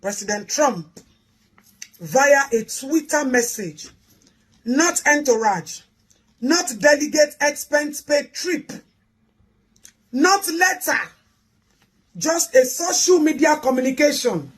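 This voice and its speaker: A woman with a Nigerian accent making an impassioned public address, with deliberate pauses and emphatic repetition. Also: high-pitched, animated.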